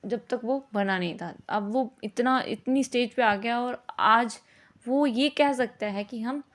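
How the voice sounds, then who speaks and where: A woman's voice: a little high, takes it slowly. A woman explains a lesson in an informal, conversational way, mixing in English words and often checking understanding with short tag questions. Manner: mixes English words into speech. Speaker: teacher.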